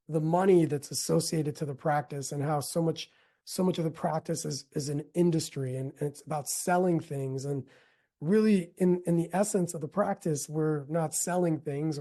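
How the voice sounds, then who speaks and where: A middle-aged American man giving a reflective talk as a teacher, earnest and deliberate, repeating phrases for emphasis. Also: a little high, warm tone. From United States. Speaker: yoga teacher and speaker. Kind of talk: reflective spoken talk with personal storytelling.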